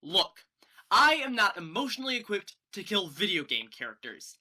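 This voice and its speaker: A woman's voice: medium pitch, big pitch swings, normal speed, pushed and bright, heavily acted. A young woman playing an anxious, earnest teenage best friend in a student stage play, flustered and stammering. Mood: distressed. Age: young adult. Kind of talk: student one-act stage acting.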